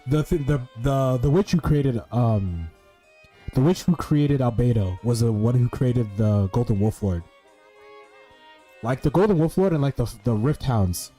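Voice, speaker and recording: A man with a dark, mellow, mid-pitched voice gives casual gameplay commentary. He rambles and swears while thinking out loud, with faint music behind him. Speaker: streamer playing a video game.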